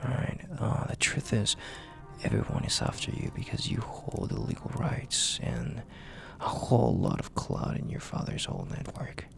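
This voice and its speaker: A man playing a dominant, flirty and possessive mafia boss in an intimate audio roleplay, speaking in a gravelly, breathy half-whisper with a commanding, teasing manner. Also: fairly low pitch, huge pitch swings, full character voice. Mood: serious, controlled. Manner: slow, commanding, teasing, with drawn-out pauses.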